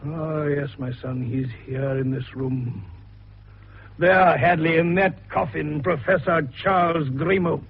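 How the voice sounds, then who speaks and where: An actor playing an older, eccentric male doctor sleuth in a radio detective drama, with a gravelly voice and an avuncular, theatrical way of explaining. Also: fairly high pitch, fairly even intonation, takes it slowly, a little hiss or hum. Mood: grave, assured. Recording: dull, muffled radio recording.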